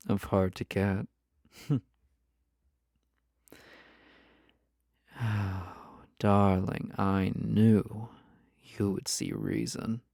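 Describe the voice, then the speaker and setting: A flirty yandere lover acted out for an audio roleplay speaks slowly and intimately, right to the listener. The voice is mellow with a creaky edge, coy and possessive, slipping into breathy teasing. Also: mid-range voice, no room sound, studio quality, exaggerated voice. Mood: smug, coy.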